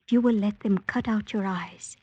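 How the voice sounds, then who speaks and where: A woman acting a tender, pleading young sweetheart in an old radio drama, breathy and often whispering. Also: mid-range voice, normal speed, darkish tone, lightly acted. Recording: muffled old radio recording.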